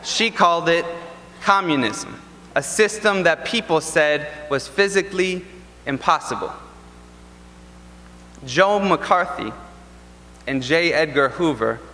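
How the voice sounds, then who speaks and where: A man's voice: fairly high pitch, pushed and bright, slight background noise. Man performing spoken word in a reverberant ballroom. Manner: rhythmic, deliberate, impassioned delivery. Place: large hotel ballroom. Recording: long reverb from a large ballroom.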